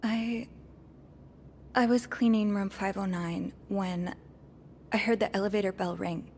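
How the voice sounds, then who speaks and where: A young woman playing an earnest, nervous hotel maid in a video game, speaking haltingly. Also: mid-range voice, steady tone, a little mellow, slight background noise, lightly acted. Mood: nervous, guarded. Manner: hesitant, halting. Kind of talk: naturalistic video game acting.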